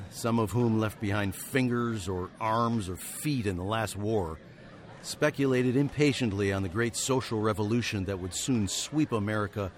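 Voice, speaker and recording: An actor playing a haunted, weary frontier hero turned fugitive, narrating in wry, wordy literary phrases for radio drama. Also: male voice, on the low side, close to an everyday voice. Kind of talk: scripted first-person radio drama narration.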